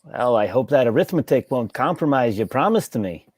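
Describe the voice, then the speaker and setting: Guy doing a thin sickly barber in a play reading, husky, dry delivery, lively pitch. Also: fairly quick. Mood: earnest, stubborn. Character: thin, sickly, timid but stubborn young barber, man. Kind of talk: play table read.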